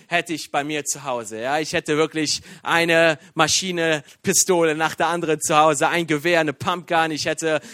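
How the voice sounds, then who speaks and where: A man from Iran preaching a sermon in a lively, joking way, with many fillers and restarts. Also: fairly high pitch, dry recording, clean studio sound. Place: church.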